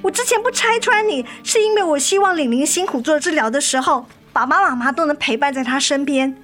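Betrayed wife and mother for radio drama: fairly high voice, tired but unbending, reproachful. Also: animated, fairly quick, fairly bright tone. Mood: indignant. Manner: firm, reproachful. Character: weary, resolute wife and mother confronting her cheating husband. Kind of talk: Mandarin radio drama acting. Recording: studio radio drama with music underneath.

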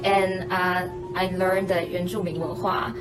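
A woman's voice: on the low side, little pitch movement, measured pace. A young adult woman, a student guest who chats easily and laughs often. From Hong Kong. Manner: chatty, laughs often, hesitant fillers. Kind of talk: podcast interview. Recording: distant mic with loud music bed.

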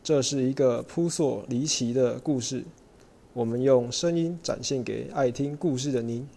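A man's voice: mid-range voice, normal speed, gravelly, mellow. A young man giving scripted narration as the storyteller of an amateur radio drama. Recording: music under the voice.

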